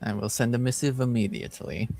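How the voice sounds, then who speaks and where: A man playing a formal, courteous, caring noble uncle and father in a tabletop fantasy roleplay, with measured wording. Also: mid-range voice, steady tone, gravelly, darkish tone, faint music behind. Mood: courteous, reassuring.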